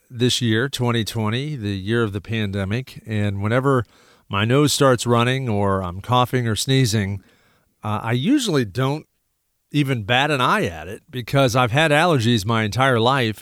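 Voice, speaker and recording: A male radio host with a stuffy, stopped-up nose, talking in a loose, rambling way full of "uh"s and restarts. His voice sits at an ordinary middle pitch. The studio sound is dry and close, with no room echo. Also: a bit bright, smooth. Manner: rambling, many fillers and restarts. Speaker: radio talk show host. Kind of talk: casual radio talk.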